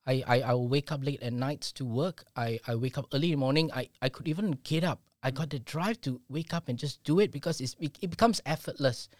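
A man's voice: mid-range voice, fairly quick, hardly any pauses, no room sound, studio quality. A middle-aged man speaking earnestly as a guest in a casual interview, often repeating words as he starts sentences. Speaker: business owner guest.

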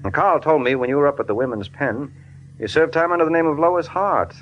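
An actor playing a cool, sardonic hard-boiled American detective in 1940s radio style. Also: male voice, fairly high pitch, a little fast, muffled recording, faint music behind, natural voice. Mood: wry. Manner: sardonic, laconic, teasing. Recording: noisy old radio drama recording.

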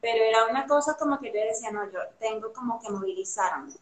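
A young woman from Venezuela with a Venezuelan accent, chatting casually as an interview guest, animated and full of fillers. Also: on the high side, fast talker. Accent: Venezuelan Spanish. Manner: chatty and animated, many fillers, laughs easily. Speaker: guest artist. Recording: roomy echo.

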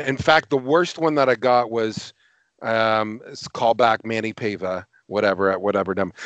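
A man's voice: mid-range voice, fairly bright tone, no room sound. A middle-aged man co-hosting a casual podcast, chatty and self-mocking, laughing easily. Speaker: podcast co-host. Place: home, two floors from family.

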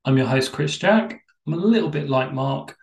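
A man's voice: fairly high pitch, lively intonation, normal speed. A man hosting a podcast interview, opening with dry, self-mocking jokes before asking questions. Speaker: podcast host. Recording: echoey room.

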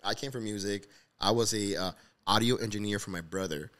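A man from Honduras with a lowish voice talks very quickly as a podcast host. He is casual and encouraging, often repeating a phrase to drive it home. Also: a bit bright. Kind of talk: casual conversational interview.

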